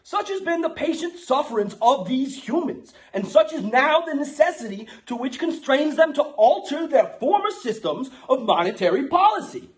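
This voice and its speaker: A man's voice: extremely high pitch, big pitch swings, moderate pace, hardly any pauses. A man playing a brash, streetwise, foul-mouthed Bronx guy with a New York accent. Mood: mock-solemn, declaiming. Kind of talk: casual ranting and mock-solemn declaration reading.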